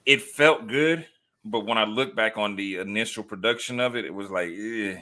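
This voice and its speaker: A man's voice: on the low side, normal speed. A man hosting an interview, affirming and relating to his guest in casual talk. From Texas. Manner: warm, affirming, relates personally. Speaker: interview host.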